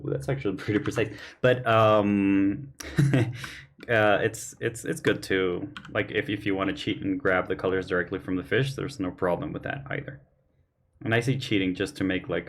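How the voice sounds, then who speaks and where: A man hosting a painting livestream, slightly hoarse from losing his voice, speaking earnestly and thinking aloud as he works. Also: low-pitched, slight background noise. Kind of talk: casual chat while painting. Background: faint keyboard and mouse clicks.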